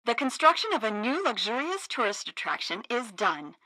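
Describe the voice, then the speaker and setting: Sassy radio news lady, highish voice, super lively swoops, peppy and over the top. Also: normal speed, dry recording, heavily acted, poor audio. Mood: newsy, brisk. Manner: animated, exaggerated newsreader delivery. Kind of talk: satirical radio news broadcast acting.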